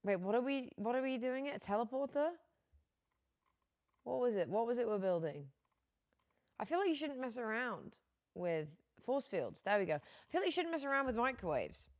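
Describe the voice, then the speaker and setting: A tired young woman streaming, chatting casually with her chat, her voice going hoarse. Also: a little high, big pitch swings, moderate pace. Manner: rambling, chatty, many fillers.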